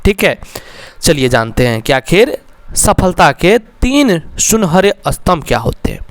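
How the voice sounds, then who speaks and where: A man's voice: a little high, big pitch swings, a little slow, dry recording. A man with a Bihari accent giving an instructional, motivational exam-preparation explainer as a coach, often checking in with his listeners. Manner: addresses listeners as companions, with frequent check-in tags.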